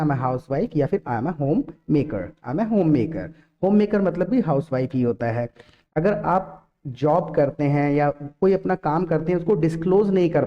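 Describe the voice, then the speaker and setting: A man with an Indian accent teaching a step-by-step language lesson, instructional, repeating example sentences slowly and deliberately. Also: on the high side, darkish tone, lo-fi sound. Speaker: English teacher. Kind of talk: step-by-step spoken English lesson.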